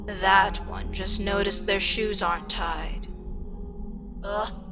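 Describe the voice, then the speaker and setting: An ancient eldritch goddess performed by a woman speaks at a very drawn-out, deliberate pace in a medium-pitched voice. She is condescending and wryly amused, with a frustrated, sarcastic edge. It is an ASMR audio drama, with music clearly playing behind her. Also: fairly even intonation. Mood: dry, exasperated. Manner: unhurried, condescending, dryly sarcastic.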